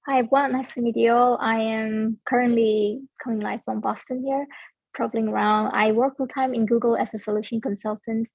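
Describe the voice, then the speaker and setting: High husky woman on a tinny line. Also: fairly even intonation, a little fast, no room sound. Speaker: volunteer coordinator, event organizer. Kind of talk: self-introduction at an online event. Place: traveling, joining remotely.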